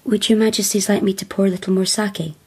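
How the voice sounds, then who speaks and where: An actress playing a young feral woman raised among wolves in a radio drama, humble yet defiant, halting and plain-spoken. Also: medium pitch, flat delivery, warm tone, slightly rough. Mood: deferential.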